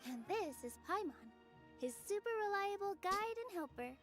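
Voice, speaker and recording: A woman voicing a gentle, polite young female dancer in a game cutscene, soft-spoken and modest. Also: very high-pitched, expressive, moderate pace, faint music behind.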